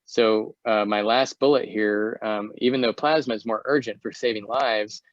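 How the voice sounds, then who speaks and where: A middle-aged American man with a fairly low voice presents slides in a halting manner. The sound is dry and somewhat muffled, as on a video call. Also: fairly even intonation, dry recording. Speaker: guest speaker, volunteer presenter.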